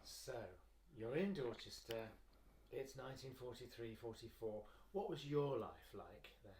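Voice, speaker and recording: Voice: mid-range voice, expressive, unhurried, darkish tone, a little hiss or hum. An interviewer asking conversational questions for an oral history recording.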